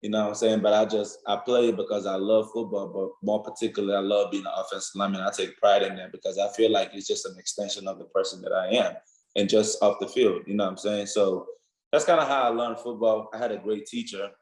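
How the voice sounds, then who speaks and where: A young Nigerian man answers interview questions in an earnest, rambling way, tagging his points with "you know what I'm saying." His voice sits low, and the room around him echoes noticeably. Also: level tone, fast talker, darkish tone. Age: young adult. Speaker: football player guest.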